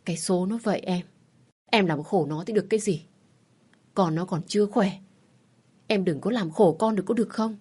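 A woman voicing a tired older father-in-law trying to calm his angry wife. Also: mid-range voice, normal speed, long pauses, husky, natural voice. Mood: placating. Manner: coaxing, placating.

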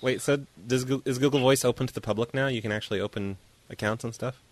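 A man's voice: mid-range voice, steady tone, quick pace, no echo. A man hosting a casual call-in show, bantering with a dry, tongue-in-cheek manner. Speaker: host of a prank phone call show.

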